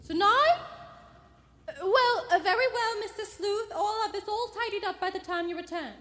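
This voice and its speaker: A woman's voice: very high-pitched, moderate pace, fairly bright tone. An actress playing an anxious, fussy landlady in a live radio play, flustered and eager to please. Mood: nervous. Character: anxious, deferential landlady, bossy housewife to her husband. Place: theatre stage before a live audience.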